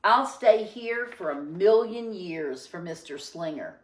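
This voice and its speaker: Storybook little girl, played by a woman: earnest, easily upset, slow-paced, recorded with noticeable room echo. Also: lowish voice, lively intonation. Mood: self-pitying. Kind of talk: children's storybook reading.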